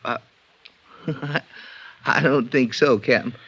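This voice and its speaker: Jittery, bright-voiced witness, muffled radio. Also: male voice, medium pitch, fairly even intonation, husky, heavily acted. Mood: nervous, earnest. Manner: halting, repetitive, earnest. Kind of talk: 1950s radio drama acting. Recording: muffled 1950s radio recording.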